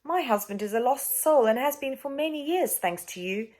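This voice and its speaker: A woman's voice: high-pitched, expressive, normal speed, a little mellow, pitched above the performer's own voice. A woman voicing a proud queen in a children's storybook, emphatic, commanding and accusing. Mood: defiant.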